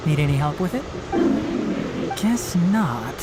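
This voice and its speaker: A man voicing a calm, clever young forest ranger in a video game, measured and precise. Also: fairly high pitch. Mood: matter-of-fact. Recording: game audio with noise.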